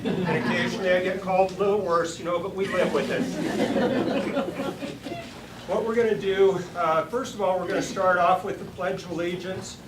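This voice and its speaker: A woman with a deep voice, chatting informally in a folksy way as she moderates a public forum. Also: steady tone, normal speed. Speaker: forum moderator and party chair. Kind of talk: informal public opening remarks. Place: meeting room at a party headquarters. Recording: echoey room, distant mic, clear noise.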